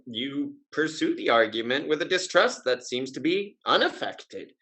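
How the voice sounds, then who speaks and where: A man playing a witty, urbane gentleman playboy in a Restoration comedy, speaking wry, elaborate period phrases in an articulate way. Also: medium pitch, expressive, normal speed. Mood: cool, commanding. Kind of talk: Restoration comedy play reading.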